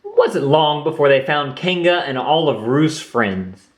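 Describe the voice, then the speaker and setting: Male read-aloud narrator for kids, unhurried and highly expressive. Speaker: storybook reader. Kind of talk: reading a children's book aloud.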